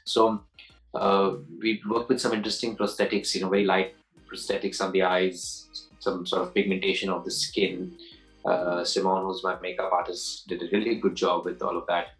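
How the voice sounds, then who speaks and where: A man's voice: low voice, flat delivery, moderate pace, faint music behind, rough recording. A man in his forties, an actor answering interview questions in a loose, rambling way, often restarting and repeating words, with easy laughs. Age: 40s.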